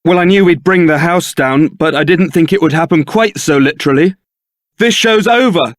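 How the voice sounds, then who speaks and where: A man's voice: fairly high pitch, normal speed, no room sound, natural voice. A man playing a suave, sardonic young templar knight and roguish ladies' man in a fantasy video game, with a dry, theatrical delivery. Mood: wry, teasing.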